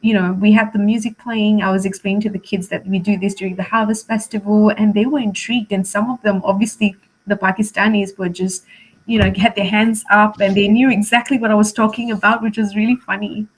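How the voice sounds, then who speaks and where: A woman's voice: mid-range voice, steady tone, fairly quick, low-quality recording. A woman from Malaysia whose first language is Malay, chatting casually as a teacher being interviewed, in a talkative, emphatic way. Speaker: primary school teacher, interview guest.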